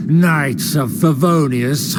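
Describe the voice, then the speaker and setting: High-voiced pompous scheming aristocrat. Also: male voice, takes it slowly. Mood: indignant. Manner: pompous, indignant, formal. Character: haughty, scheming male aristocrat of a fallen clan. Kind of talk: video game villain acting. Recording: music behind the voice.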